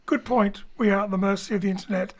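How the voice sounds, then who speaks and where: A man hosting and introducing an audio drama from his home studio, relaxed and lightly joking. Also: high voice, quick pace. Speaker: host and director. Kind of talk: friendly show introduction. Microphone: home studio mic.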